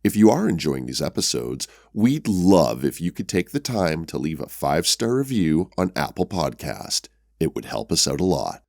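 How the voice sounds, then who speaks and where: A man with a very deep, gravelly voice who hosts and plays in a tabletop horror podcast, speaking deliberately. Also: sing-song, darkish tone, no room sound, studio quality. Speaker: podcast host and tabletop player. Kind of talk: scripted horror intro narration, then casual roleplay.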